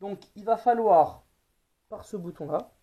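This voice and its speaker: A man's voice: sits high, unhurried, highs cut off. A man presenting a product in a casual hands-on tutorial, informal and thinking aloud as he goes.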